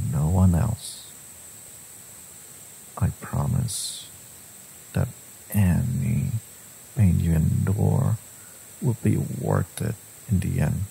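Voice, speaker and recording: A man voicing a brooding, possessive villain devoted to a secret lover, in an intimate, intense dramatic audio roleplay, with slow, deliberate delivery and a husky, gravelly voice. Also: sits low, dark tone, slight background noise, full character voice, rough recording. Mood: ominous, possessive.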